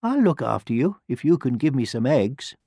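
A man's voice: mid-range voice, sing-song, moderate pace, creaky, dark tone, husky, dry recording, studio quality, voice pushed up, full character voice. A man voicing an inviting old woman of a country cottage in a children's storybook. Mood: welcoming. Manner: inviting, bargaining. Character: old cottage woman, welcoming but wanting eggs. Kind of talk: storybook reading.

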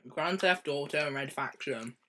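A man's voice: fairly high pitch, moderate pace, bright, forceful tone. A young man chatting on a gaming podcast while eating chocolate. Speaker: podcast guest. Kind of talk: casual gaming podcast chat.

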